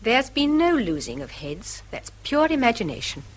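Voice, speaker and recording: An actress playing a weary, wistful English wife with a defiant streak, in an emphatic British radio drama style. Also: female voice, on the high side, sing-song, normal speed, slightly rough. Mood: indignant, defiant. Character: weary wife Mary, wistful, quick to defy her husband.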